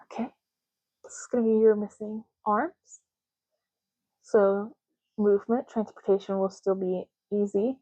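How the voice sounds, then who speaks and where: A woman speaks softly in an ASMR roleplay, with a warm, mellow tone. She explains things gently in short, unhurried phrases, like a patient clerk going through options. The recording is a little dull. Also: medium pitch, no echo. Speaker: ASMR creator playing an ID card clerk. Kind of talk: ASMR roleplay, soft-spoken.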